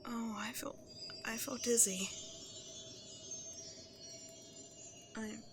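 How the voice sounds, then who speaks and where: A woman playing a remorseful, self-loathing cursed princess turned beast in an ASMR roleplay, halting and confessional, often hushed to near-whispers. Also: a little high, steady tone, music in the background. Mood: fearful, resigned. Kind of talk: dramatic ASMR roleplay monologue to the listener.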